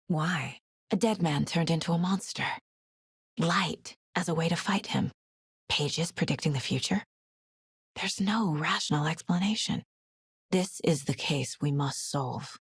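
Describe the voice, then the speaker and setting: A determined, level-headed female federal agent in a horror game. Her voice is husky and on the low side, recorded close and dry. She sounds serious and focused, as if thinking through a case. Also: dry recording. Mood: focused, investigative. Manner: focused, serious, often musing to herself. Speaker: video game voice actress. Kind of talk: dramatic video game voice lines.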